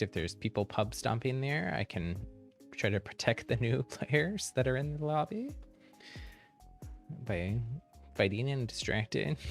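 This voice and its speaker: A man's voice: mid-range voice, gravelly. A man chatting casually on a game livestream in a rambling, easygoing way. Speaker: live streamer.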